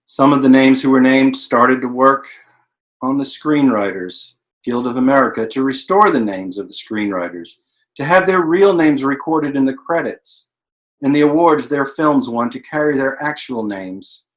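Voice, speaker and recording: A thoughtful, learned narrator, acted by a man speaks in a theatrical monologue. The voice is mid-range, with a measured, ruminative, explanatory delivery. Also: medium pitch, close to an everyday voice, rough recording. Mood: reflective. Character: thoughtful, learned narrator reflecting on the Hollywood blacklist. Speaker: stage actor in a play reading. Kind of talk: theatrical monologue in a staged reading.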